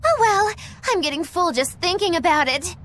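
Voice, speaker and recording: Casting: sardonic fantasy-game witch, flippant, high and lively, theatrical teasing. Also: moderate pace, a little hiss or hum. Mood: playful. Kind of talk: English game voice acting.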